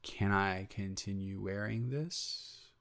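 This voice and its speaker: A man's voice: on the low side, takes it slowly, fairly bright tone. A man playing a sheepish, good-natured roommate in an improvised roleplay audio, talking casually with hesitant, stammering repeats. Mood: awkward, hesitant. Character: sheepish, awkward, good-natured male roommate, secretly smitten.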